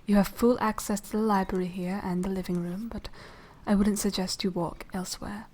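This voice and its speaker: A woman playing a polite, secretive young noble vampire lady in a soft, half-whispered ASMR roleplay, speaking formally and with measured care. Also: mid-range voice, level tone, natural voice. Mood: politely firm. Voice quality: soft, breathy whispering edge. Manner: hushed, formal, measured, genteel.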